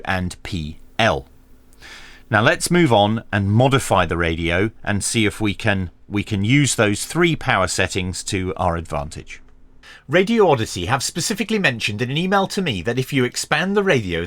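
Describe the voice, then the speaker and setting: Male reviewer, methodical and explanatory, medium pitch with lively inflection, dry recording. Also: no room sound. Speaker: radio reviewer presenting a video. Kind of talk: hands-on product review commentary.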